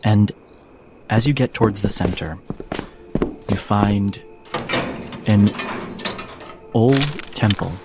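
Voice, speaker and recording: A man narrating as game master in the second person, measured and atmospheric. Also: on the low side, heavy background noise. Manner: measured, scene-setting.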